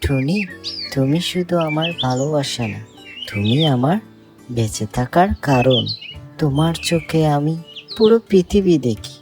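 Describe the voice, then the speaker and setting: A woman's voice: low-pitched, animated, measured pace, voice pushed down. A woman voicing a devoted, generous male songbird, a husband and father, speaking tenderly and earnestly in a sentimental cartoon. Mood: tender, romantic. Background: music and birdsong.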